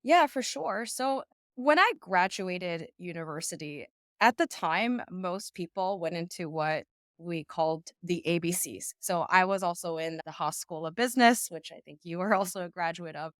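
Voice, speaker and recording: Low-voiced reflective woman founder. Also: lively intonation, normal speed, no room sound, clean studio sound. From United States. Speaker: startup founder and CEO, guest. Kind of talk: podcast interview.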